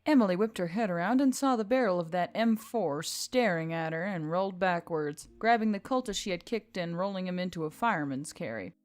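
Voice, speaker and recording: A woman's voice: lowish voice, expressive, fairly quick, few pauses, darkish tone. A woman reading scripted action fiction as an audiobook narrator. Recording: background music under the voice.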